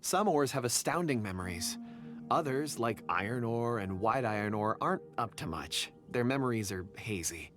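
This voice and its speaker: A man voicing a shy, polite miner in a video game, gentle and a little hesitant, sometimes stammering. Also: medium pitch, lively intonation, normal speed, music in the background, lightly acted.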